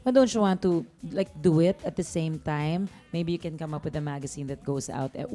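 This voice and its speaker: A woman hosting an interview, chatty and friendly, firing off quick follow-up questions. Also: low-pitched, warm tone, soft background music. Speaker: talk show host.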